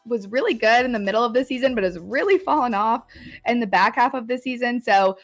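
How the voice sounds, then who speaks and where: A woman guest on a sports podcast, talking casually with a hoarse, scratchy voice and an eager manner that breaks into laughs. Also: a little high, fairly quick, faint music behind. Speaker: guest analyst.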